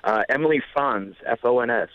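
A man's voice: medium pitch, a little fast, dry recording. A man from Illinois calling into a radio show by telephone, speaking in a casual, hesitant way with many uhs. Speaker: caller. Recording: tinny phone line.

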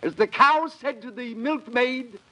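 A pompous stage comedian with a very high, bright voice. He delivers his corny one-liners with grand theatrical flourish, in the manner of 1940s radio comedy. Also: unhurried, heavily acted. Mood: hammy. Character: pompous male would-be comedian telling corny jokes.

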